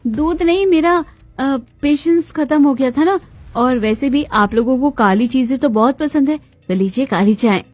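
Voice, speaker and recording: High-pitched sarcastic cartoon daughter-in-law played by a woman. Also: moderate pace, a little mellow, no room sound. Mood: mock-sweet. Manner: mock-sweet, dramatic.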